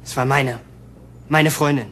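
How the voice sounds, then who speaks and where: A man's voice: medium pitch, steady tone, measured pace, fairly bright tone, slight background noise, natural voice. A man acting a bitter, possessive young murderer in a crime radio play, agitated and self-justifying. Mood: bitter, defiant.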